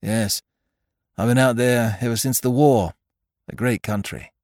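A man's voice: medium pitch, unhurried, darkish tone, no room sound, studio quality, voice pushed up, close to an everyday voice. Man voicing a breezy, well-bred young army captain. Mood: offhand. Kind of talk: audiobook character voice.

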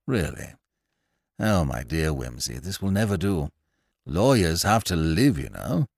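An audiobook character: a man playing a jovial English barrister with an upper-class accent. The voice is fairly low but full of movement. He talks effusively and urbanely, in a dry, close recording. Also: sing-song, measured pace, gravelly, a little mellow, no room sound, heavily acted.

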